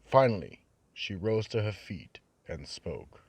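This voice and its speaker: A man giving ominous, measured narration for a horror radio show. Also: fairly low pitch, sing-song, husky, dry recording.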